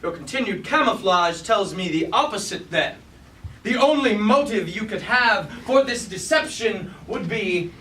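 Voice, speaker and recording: An actor playing a righteous, combative robot warrior in a staged tragedy, declaiming formal, archaic lines. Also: male voice, sits high, bright, forceful tone, heavily acted. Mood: wary, searching. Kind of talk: staged reading of a mock Greek tragedy. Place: indoor staged reading, mic far from actor. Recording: roomy, distant, noisy live stage recording.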